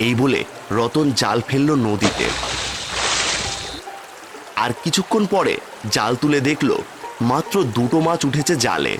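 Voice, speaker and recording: Lively male storyteller over music. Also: mid-range voice, unhurried, long pauses. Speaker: narrator. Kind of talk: scripted cartoon storytelling.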